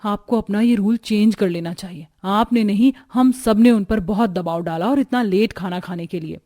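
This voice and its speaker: A woman's voice: mid-range voice, normal speed, mellow, close to an everyday voice. A woman voicing a worn-out, pleading young sister-in-law in a cartoon family story. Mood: pleading, fed up. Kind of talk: Hindi cartoon story acting.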